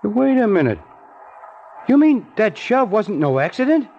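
An actor playing an excitable, slangy man on the street, eager to please, in old-time radio drama style. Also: sits high, unhurried, exaggerated voice. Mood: eager, garrulous. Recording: muffled, noisy old radio recording.

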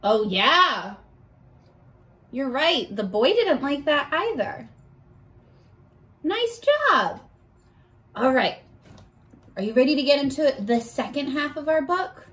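Animated young woman teaching kids, high-pitched voice. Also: slow pace, long pauses. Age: young adult. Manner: animated, encouraging, child-directed. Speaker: children's teacher. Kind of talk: children's read-aloud lesson to camera. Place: bedroom at home, indoors.

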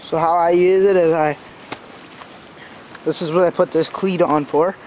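A man giving a casual, unscripted walkthrough as an amateur reviewer, talking in a halting, informal way outdoors on a handheld phone. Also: fairly high pitch, steady tone, a little mellow, rough recording. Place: outdoors in a backyard. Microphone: handheld phone camera. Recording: outdoor phone recording with clear background noise. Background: passing cars.

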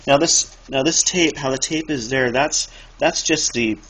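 A man giving a casual, rambling how-to talk in a halting, improvised way, recorded on a handheld phone indoors. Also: medium pitch, a bit bright, breathy edge. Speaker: video maker, thrift reseller. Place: cluttered dim room indoors. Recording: noisy amateur phone recording.

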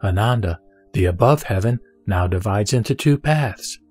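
A man reciting a Buddhist scripture in a measured, solemn way, carefully sounding out Sanskrit names. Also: lowish voice, expressive, darkish tone, husky, no echo, faint music behind. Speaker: sutra reciter.

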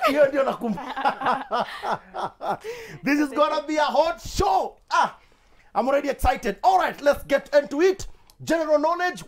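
A man with a Kenyan accent hosting a TV quiz show in a studio, laughing often and mixing English with Swahili slang. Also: very high voice. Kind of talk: lively game show hosting. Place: indoor TV studio.

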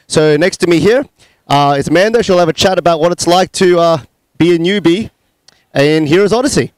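Young man, host, smooth clean voice, dry close sound. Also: on the high side, a little fast, no room sound, poor audio. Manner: hesitant, many "uh" fillers. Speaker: larp club leader, host. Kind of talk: casual group interview. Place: outdoors at a convention, sunny courtyard. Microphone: handheld mic.

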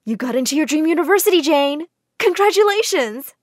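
A woman puts on a school counselor, a caring woman who speaks earnestly and directly. Her voice is fairly high. The recording is dry and close. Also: big pitch swings, moderate pace, a little mellow, slightly rough, dry recording. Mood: excited.

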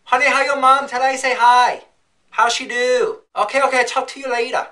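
A young man voicing a fussy Vietnamese salon worker on a phone call, speaking in clipped, slow broken phrases. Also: extremely high pitch, little pitch movement, bright, forceful tone. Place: small decorated room at home.

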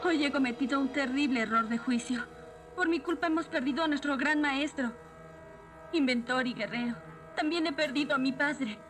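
A woman's voice: sits high, normal speed, music in the background, lightly acted. A woman voicing a proud, guilt-ridden young warrior captain in a Latin American Spanish cartoon dub. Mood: resigned. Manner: earnest, dramatic.

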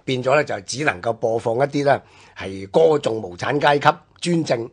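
A man's voice: mid-range voice, normal speed, husky. A man with a Hong Kong Cantonese accent co-hosting a history discussion, explaining in conversational, list-like phrases. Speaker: radio co-host.

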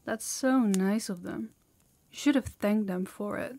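A woman's voice: medium pitch, a little slow, vocal fry, mellow, no room sound. A woman voicing a harsh, dominant female demon in an intimate audio roleplay, with a haughty, commanding, taunting manner. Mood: taunting, possessive. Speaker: voice actor.

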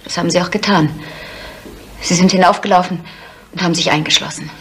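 An actress playing the guarded eldest daughter of a brutal innkeeper in a German radio crime drama. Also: female voice, on the low side, steady tone, fast talker, fairly bright tone, natural voice. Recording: faintly noisy 1970s radio play.

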